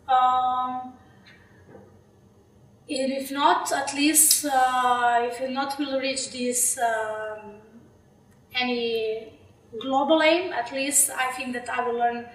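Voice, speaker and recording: A young woman with a Slovenian accent, answering interview questions in English with many fillers and restarts. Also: high voice. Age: young adult. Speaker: interviewee, PhD candidate. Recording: echoey room, mic far off.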